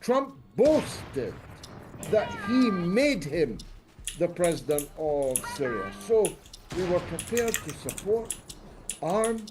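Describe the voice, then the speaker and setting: A man's voice: high voice, very animated, takes it slowly, noisy background. An older man giving political commentary as an interview guest, speaking emphatically with rhetorical lists and questions.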